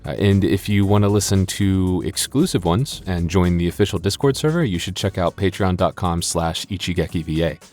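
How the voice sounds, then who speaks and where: A man who makes audio roleplays, chatting casually to listeners in a halting, self-deprecating, joking way. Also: deep voice, darkish tone, faint music behind. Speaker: audio roleplay creator and voice actor. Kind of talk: casual comedic outro to listeners.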